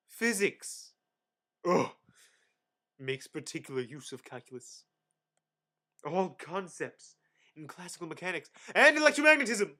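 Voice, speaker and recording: A man with a somewhat high voice performs a dramatic reading of dry reference prose. He is mock-grandiose, swelling suddenly for emphasis and dropping back with theatrical pauses. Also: pushed and bright. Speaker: amateur reader.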